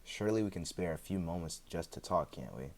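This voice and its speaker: A man acts out an immortal man with a very deep voice in a roleplay audio drama. He is chatty and a little sheepish, dodging and hesitating as he talks, with a dry sense of humor. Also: expressive, brisk, a little mellow. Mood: wistful, teasing. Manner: conversational, hesitant, with frequent chuckles and dry humor. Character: chatty, sheepish, evasive immortal man, freshly back from death. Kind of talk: ASMR roleplay audio drama, one side of a conversation.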